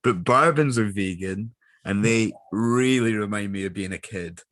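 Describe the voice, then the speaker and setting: Low-voiced young man, jokey. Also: normal speed, fairly bright tone. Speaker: voice actor, podcast guest. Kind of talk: casual chat.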